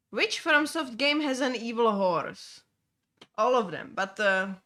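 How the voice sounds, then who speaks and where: A young woman with a Czech accent chats while streaming a game. She speaks slowly at a middling pitch, stopping often to restart her sentences with ums and uhs. Her first language is Czech. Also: pushed and bright. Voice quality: halting, many fillers and restarts. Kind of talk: casual gameplay chat.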